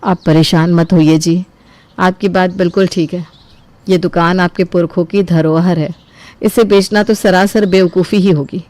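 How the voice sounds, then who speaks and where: A woman's voice: lowish voice, fairly even intonation, normal speed, darkish tone, no echo, natural voice. A woman voicing a supportive, practical wife, reassuring and resolute, in a cartoon family story. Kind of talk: acted dialogue in a Hindi cartoon family story.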